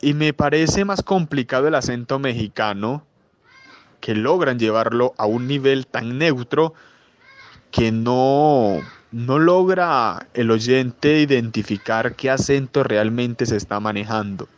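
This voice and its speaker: A man from Colombia hosting an interview, asking measured, formal questions. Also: mid-range voice, highs cut off. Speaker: interviewer host.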